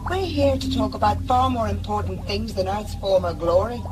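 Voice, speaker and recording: A woman's voice: mid-range voice, animated, normal speed, very noisy, lightly acted. An actress playing a blunt, impatient, commanding woman convict in an audio drama. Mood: angry, impatient. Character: sharp, impatient, commanding woman convict aboard a scavenger ship.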